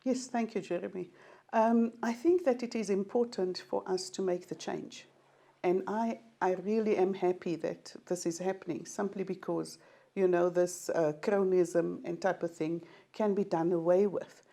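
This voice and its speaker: A woman's voice: lowish voice, steady tone, moderate pace, vocal fry, warm tone. A South African woman, a mayoral candidate, speaking earnestly and plainly with the occasional filler.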